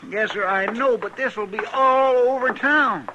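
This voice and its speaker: An actor playing a loyal, excitable deputy sidekick with a deferential country drawl, in 1950s radio drama acting. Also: male voice, very high voice, unhurried, muffled recording. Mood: indignant. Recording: noisy old radio broadcast.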